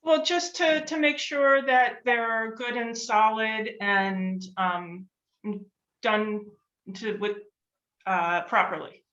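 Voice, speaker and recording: Woman, very slow and unsure, bright voice, echoey muffled call. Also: on the high side, steady tone. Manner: unsure, trailing off.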